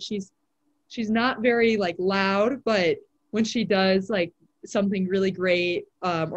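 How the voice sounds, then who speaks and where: A teenage girl, a high school volleyball player, answering interview questions in a casual way with lots of "like" fillers. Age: teens. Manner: chatty, many "like" fillers.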